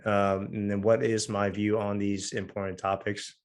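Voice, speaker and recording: A young man hosts a relaxed podcast conversation, his voice hoarse after losing it recently. He talks in a low register, pausing and restarting often with plenty of fillers as he works toward his questions. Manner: halting, many fillers and restarts. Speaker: podcast host. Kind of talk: casual conversational interview.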